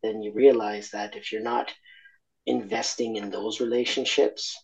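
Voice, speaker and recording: A man from Argentina speaking candidly as an interview guest, reflective and halting, with many fillers and restarts. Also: on the low side, flat delivery, vocal fry, a little mellow, poor audio.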